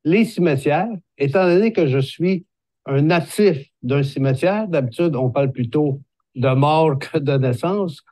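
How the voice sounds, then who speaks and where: A man in his sixties with a Québécois accent, a horticulturist explaining green-space care in a measured, hesitant way with many fillers. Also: on the high side, warm tone, dry recording. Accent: Québécois French. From Quebec. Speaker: horticulturist presenting a video. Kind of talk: explanatory video talk.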